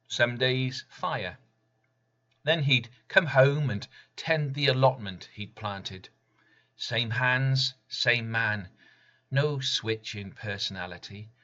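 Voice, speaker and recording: A middle-aged man reading his own spoken-word poem in measured, emphatic, short clipped phrases. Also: mid-range voice, expressive, pushed and bright, dull audio. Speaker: poet reading own work.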